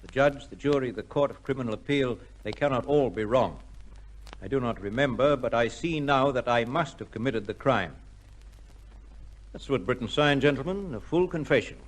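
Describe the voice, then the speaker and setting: An actor playing a grave, courteous prison governor with a deliberate, formal manner in an old-time radio drama. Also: male voice, mid-range voice, close to an everyday voice. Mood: solemn, shaken.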